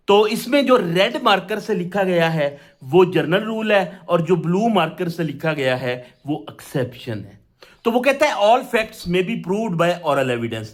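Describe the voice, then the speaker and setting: Male law tutor, Pakistani accent, high register, unhurried delivery, didactic question-and-answer manner. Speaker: law teacher. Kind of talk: explanatory law lesson, mixing Urdu with English legal terms.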